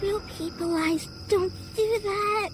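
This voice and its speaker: A man voicing a frightened six-year-old girl, talking slowly and softly. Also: very high voice, steady tone, over music, voice pushed up. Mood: scared. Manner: small, slow, hushed.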